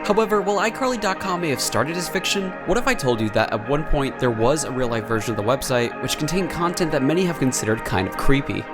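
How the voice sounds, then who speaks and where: A man's voice: medium pitch, animated, fast talker, few pauses. A man narrating a scripted explainer video. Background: prominent background music.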